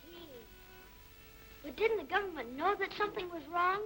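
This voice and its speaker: A woman's voice: extremely high pitch, expressive, normal speed, sharp tone, heavily acted, rough recording. A woman playing an eager, wide-eyed young child in an old western drama. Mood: curious, amazed.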